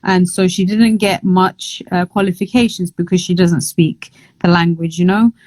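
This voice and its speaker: A woman's voice: fairly low pitch, fairly even intonation, moderate pace, darkish tone, dry recording. Young woman, boxer guest, says "like" constantly, dry close mic. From Somalia, raised in London. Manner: chatty, lots of "like" fillers, laughs easily. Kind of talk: casual interview chat.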